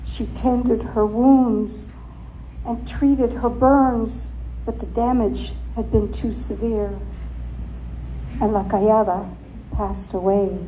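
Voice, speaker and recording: A woman giving a theatrical, suspenseful dramatic reading of a story, with deliberate emphasis. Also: fairly high pitch, fairly even intonation, warm tone, slight background noise, low-quality recording. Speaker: author and storyteller.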